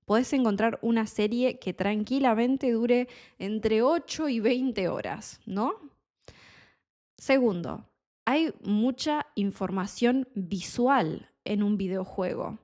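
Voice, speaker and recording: A young woman with a Buenos Aires Spanish accent, a Spanish tutor talking in a slow, clear teaching monologue and explaining words as she goes. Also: mid-range voice, a little mellow. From Argentina.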